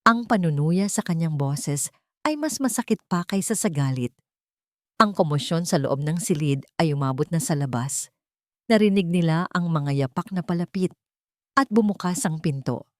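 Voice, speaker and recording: A woman narrating a dramatic love story in measured, solemn, deliberate phrases. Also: low-pitched, expressive, dry recording, studio quality.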